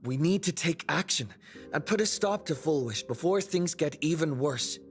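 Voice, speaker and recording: Higher-voiced, earnest young hero. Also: male voice, expressive, moderate pace, faint music behind. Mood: determined. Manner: earnest, determined. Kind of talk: video game voice acting.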